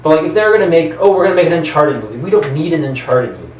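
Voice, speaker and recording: A man co-hosting a casual discussion, arguing his opinions in a halting, conversational way and rephrasing as he goes. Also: fairly high pitch, big pitch swings, darkish tone. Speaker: podcast co-host. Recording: roomy, distant mic, background noise.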